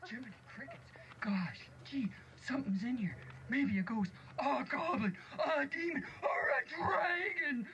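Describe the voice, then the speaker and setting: A woman voicing a bunch of excitable little male dwarfs, high and stammering, in a read-aloud storybook. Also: unhurried, exaggerated voice. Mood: alarmed. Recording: home recording with clear background noise.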